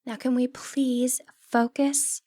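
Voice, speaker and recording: A woman playing a sly, confident, flirty thief in a fantasy roleplay, playful and cheeky with breathy asides. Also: sits high, little pitch movement, slow, dark tone, no room sound, clean studio sound. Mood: sly, hushed. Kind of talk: scripted fantasy ASMR roleplay, addressing the listener.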